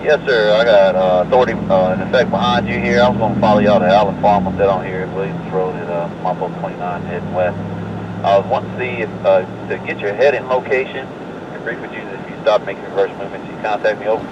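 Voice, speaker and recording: Through a crackle of distant, very noisy two-way radio comes a man with a lowish voice. He is a railroad worker trading procedural information in clipped phrases, talking quickly. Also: fairly even intonation, highs cut off. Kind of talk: railroad radio dispatch chatter. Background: passing train noise.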